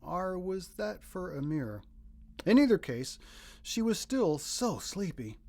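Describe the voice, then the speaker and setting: A man reading a children's picture book aloud as narrator, in expressive scripted storytelling. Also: sits high, unhurried, vocal fry.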